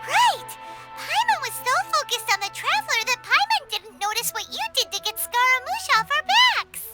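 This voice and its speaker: An actress voicing a tiny, curious fairy companion who talks about herself in the third person, in a video game cutscene. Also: female voice, extremely high pitch, very animated, normal speed, music in the background.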